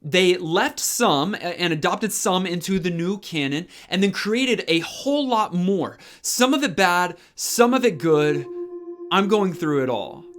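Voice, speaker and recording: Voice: mid-range voice, lively intonation, unhurried. An informal, enthusiastic video host talking casually through a review and repeating words for emphasis. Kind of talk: casual chatty review vlog to camera.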